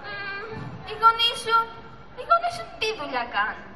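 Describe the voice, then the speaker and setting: A woman's voice: very high-pitched, huge pitch swings, full character voice. A teenage girl playing a small, lonely, anxious female sea turtle in an amateur school puppet play, with theatrical pleading. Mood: suspicious. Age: young teenager. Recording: echoey hall recording with audience noise.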